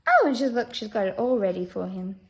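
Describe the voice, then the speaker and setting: A woman reading a picture book aloud to young children in an expressive, sing-song way. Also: medium pitch. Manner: slow, expressive, sing-song delivery with asides. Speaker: story reader for preschool children.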